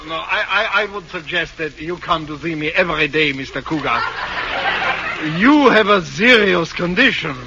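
An actor playing a fussy, self-important psychiatrist in an old-time radio comedy. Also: male voice, fairly high pitch, animated, normal speed, a crowd in the background. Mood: pompous. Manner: officious, fussy. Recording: dull old radio recording, slight noise.

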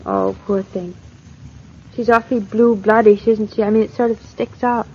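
A woman's voice: mid-range voice, steady tone, normal speed, slight background noise, close to an everyday voice. An actress playing a young newlywed wife, girlish, chatty and earnest, eager but insecure, in a 1940s radio drama style. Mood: earnest, anxious. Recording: dull lo-fi old radio recording.

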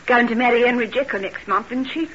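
An actress playing a guarded, bitter young working-class housekeeper with a brusque, slangy in old radio drama style. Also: female voice, fairly high pitch, fast talker, a bit bright, close to an everyday voice. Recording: muffled noisy old radio recording.

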